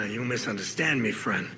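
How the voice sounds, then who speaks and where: An actor playing a suave, ingratiating, wry bar owner with a shady streak in a video game. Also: male voice, on the low side, measured pace, lightly acted. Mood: sly, ingratiating. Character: smooth, sly male bar owner, ex-criminal. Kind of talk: conversational video game acting. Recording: clear background noise.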